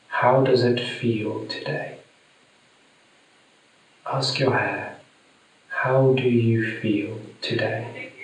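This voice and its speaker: Slow-speaking male meditation guide in echoey room. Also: medium pitch, a little mellow. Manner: soothing, deliberate, with long pauses. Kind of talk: guided meditation, spoken gently to the listener. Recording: very echoey room, distant mic.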